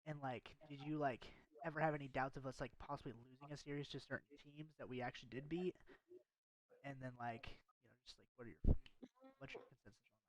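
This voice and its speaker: A man's voice: mid-range voice, fairly even intonation, brisk, rough recording. A man hosting a casual esports podcast, laid-back and slangy, calling people dude and bro. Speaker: podcast host.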